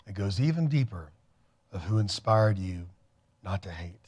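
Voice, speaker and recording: A man's voice: lowish voice, expressive, moderate pace, darkish tone, breathy edge. A man hosting a TV studio interview, affirming and encouraging his guest. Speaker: TV host.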